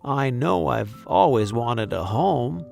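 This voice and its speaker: Man voicing a slow, wondering little teddy bear. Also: medium pitch, expressive, gravelly, soft background music, full character voice. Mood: content. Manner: wide-eyed, earnest wonder. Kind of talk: children's storybook read-aloud.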